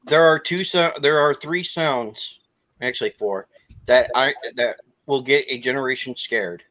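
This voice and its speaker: A man's voice: medium pitch, measured pace, fairly bright tone, no echo, low-quality recording. A man in his forties co-hosting a casual podcast chat, joking and talking off the cuff. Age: 40s.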